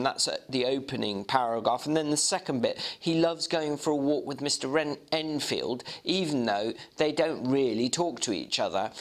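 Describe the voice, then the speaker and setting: Male reader, lighter high-ish register, very lively intonation, dramatic literary narration. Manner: expressive, theatrical storytelling delivery. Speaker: reader and commentator. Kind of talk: dramatic reading of a Victorian novel with asides.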